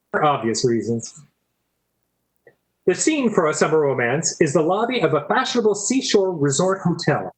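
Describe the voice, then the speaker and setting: Lively, higher-pitched male announcer. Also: poor audio. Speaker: announcer and narrator. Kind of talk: scripted narration.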